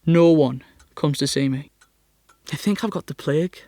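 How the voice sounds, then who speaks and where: An actor playing a sickly, self-pitying teenage schoolboy with a North East English accent in an audio drama monologue, glum and sardonic. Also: male voice, fairly high pitch, normal speed, mellow, no room sound, studio quality, close to an everyday voice. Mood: glum, aggrieved. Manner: glum, complaining, melodramatic.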